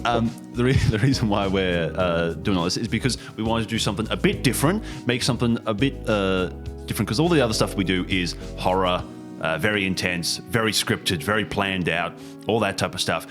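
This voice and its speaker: A man's voice: lowish voice, a little fast, music in the background. An Australian man hosting a podcast, introducing it in a casual, jokey, rambling way. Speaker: host and game master.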